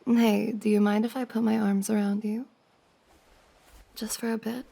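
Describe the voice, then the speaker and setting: A woman playing a giggly, teasing young woman confessing to her crush in an intimate ASMR roleplay, with a creaky voice. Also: on the high side, flat delivery, normal speed, dark tone. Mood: shy, cosy. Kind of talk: intimate ASMR roleplay, acted as one side of a conversation.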